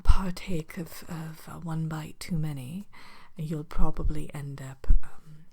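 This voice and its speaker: A woman voicing a politely sinister evil queen who runs a potion shop, in a soft, breathy, hesitant ASMR whisper. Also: low-pitched, steady tone, exaggerated voice. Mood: gently cautioning. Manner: hesitant, genteel, unhurried, matter-of-fact about dark magic.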